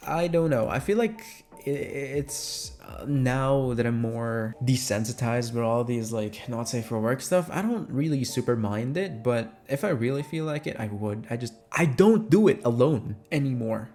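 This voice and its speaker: Man, Filipino accent, mid-pitched voice, informal Q&A chat, candid, faint music behind. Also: a little mellow. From Philippines. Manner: informal, candid, swears casually. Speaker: ASMR voice actor and creator. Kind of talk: casual Q&A chat to listeners.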